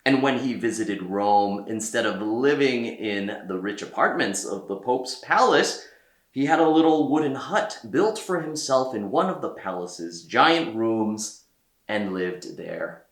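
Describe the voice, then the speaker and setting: A man reading a children's story aloud as a storyteller, with theatrical delivery and deliberate pauses. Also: on the low side, expressive, reverberant. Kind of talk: expressive read-aloud narration for children.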